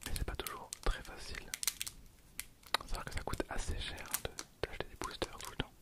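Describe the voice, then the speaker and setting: A man in his twenties whispering softly and informally, as in a casual ASMR video with hushed running commentary. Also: a little fast. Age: 20s.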